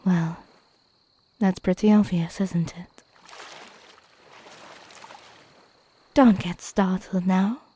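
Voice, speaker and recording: A woman playing a flirty, teasing mermaid in an intimate ASMR roleplay, coy and playful with breathy half-whispers. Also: on the low side, steady tone, takes it slowly, dark tone.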